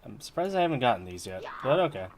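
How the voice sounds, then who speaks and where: A man's voice: mid-range voice, very animated, fast talker, slight background noise. A man giving casual, offhand gameplay commentary as a streamer, joking along as he plays. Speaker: game streamer.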